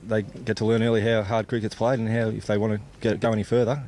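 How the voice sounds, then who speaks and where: A deep-voiced Australian man gives a sports interview as a club captain. He speaks quickly and colloquially, with outdoor noise behind him. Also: flat delivery, a little mellow. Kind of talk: post-play sports interview. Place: outdoors at a cricket ground. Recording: clear background noise, outdoor ambience.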